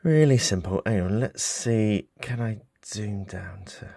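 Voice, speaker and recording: Deep-voiced British man, casual and hesitant. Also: unhurried, gravelly, warm tone, no room sound, clean studio sound. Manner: hesitant, thinking aloud. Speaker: hobbyist repair presenter. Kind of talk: casual unscripted repair demonstration. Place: indoors, small office.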